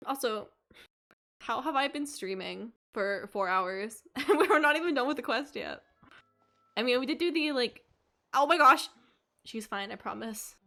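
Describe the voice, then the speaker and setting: A woman giving casual, playful livestream commentary on a game, with excitable reactions. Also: a little high, measured pace, faint music behind. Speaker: streamer playing a story game.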